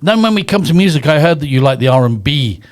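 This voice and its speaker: A man's voice: on the high side, lively intonation, fast talker. A man hosting a podcast in a studio, playful and chuckling, often repeating his words. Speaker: podcast host. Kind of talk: casual podcast interview.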